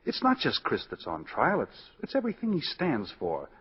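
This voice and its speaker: Idealistic attorney, quick-witted and earnest; husky, animated, muffled old radio drama sound. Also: male voice, mid-range voice, exaggerated voice. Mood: earnest, upbeat. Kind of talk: 1940s radio acting. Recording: dull 1940s radio broadcast, long reverb.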